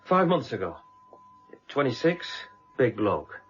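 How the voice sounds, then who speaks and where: An actor playing a brisk, matter-of-fact police constable in a radio crime drama, reporting in clipped facts. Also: male voice, medium pitch, very animated, soft background music. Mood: factual. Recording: muffled radio drama recording.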